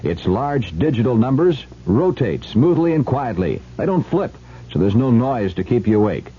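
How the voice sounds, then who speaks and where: A muffled old radio recording of a man announcing a commercial. His voice is dark and husky, with animated intonation through the scripted pitch. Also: normal speed. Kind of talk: scripted advertising read.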